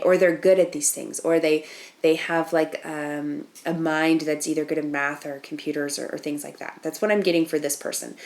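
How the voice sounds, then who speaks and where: A woman with a fairly low voice reads tarot cards for her channel. She sounds nasal and sniffly from a cold. Her delivery is chatty, with plenty of ums and restarts as she works through each card. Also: darkish tone. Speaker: tarot reader and channel host. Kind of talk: casual tarot reading to camera.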